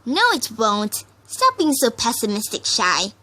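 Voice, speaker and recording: A woman's voice: a little high, sing-song, a little slow, sharp tone, full character voice. A woman voicing an impatient, cocky female pegasus pony in a dramatic story reading. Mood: confident, dismissive.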